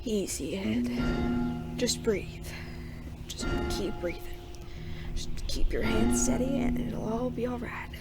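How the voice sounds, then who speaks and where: A woman playing a weary, gruff young male sheriff in a Western audio drama, with a folksy, sardonic drawl. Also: fairly high pitch, very animated, takes it slowly, long pauses. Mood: nervous whisper. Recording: loud guitar music behind.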